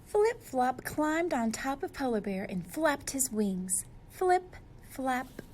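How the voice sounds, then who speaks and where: A woman's voice: high-pitched, big pitch swings, takes it slowly, darkish tone, slight background noise. A woman reading a storybook aloud to young children, expressively and speaking to them directly.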